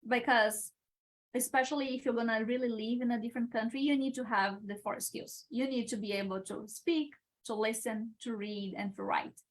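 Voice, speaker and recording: A young woman in her twenties with a Brazilian Portuguese accent, answering questions on a video call and pausing often to find her words. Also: fairly high pitch, fairly even intonation, poor audio. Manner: hesitant, searching for words with many uh's and restarts. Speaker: guest, international engineering student. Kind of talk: live-stream Q&A answers.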